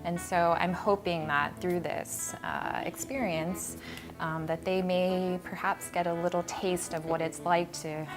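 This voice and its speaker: An American-born woman artist talking thoughtfully about her installation in a gallery, with many ums and pauses. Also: on the low side, steady tone, over music. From United States. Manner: hesitant, many ums and uhs, reflective. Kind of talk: artist talk at an exhibition. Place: art gallery.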